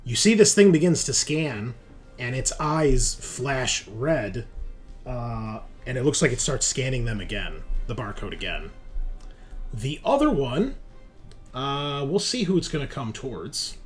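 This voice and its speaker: A man's voice: mid-range voice, big pitch swings, measured pace. A man narrating casually as game master, improvising descriptions with informal asides. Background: background music.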